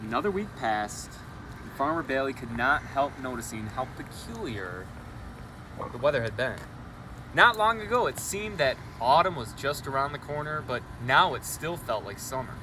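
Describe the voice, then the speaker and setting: Animated man reading a bedtime story outdoors. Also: medium pitch. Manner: expressive, pausing to ask and comment to listeners. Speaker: elementary school educator reading a bedtime story. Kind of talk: read-aloud storybook narration with asides. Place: outdoors on a school roof at night. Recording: outdoor live feed with traffic noise.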